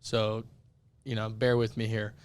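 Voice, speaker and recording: Young guy on a podcast, voice kinda raspy and worn out, pretty flat and even, chatting candidly. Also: lowish voice, unhurried. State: voice worn out from yelling. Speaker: podcast co-host. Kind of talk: casual podcast chat.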